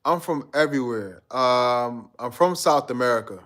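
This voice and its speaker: A man's voice: mid-range voice, moderate pace, fairly bright tone. A man from Guyana hosting a live stream, chatting loosely with his viewers in a slangy, rambling way and reading out their comments. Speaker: livestream host.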